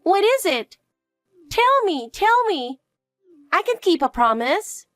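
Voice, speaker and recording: A woman's voice: extremely high pitch, sing-song, slow, fairly bright tone, no echo, faint music behind, voice pushed up, full character voice. A woman voicing a frightened little girl who clings to her mother with pleading questions. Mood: eager, pleading. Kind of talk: dramatic dialogue.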